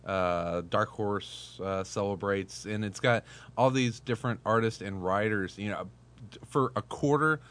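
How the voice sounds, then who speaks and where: A man's voice: lowish voice, a little slow, fairly bright tone. A man in his thirties hosting a casual panel chat, talking hesitantly with many fillers and repeated words. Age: 30s. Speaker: podcast host.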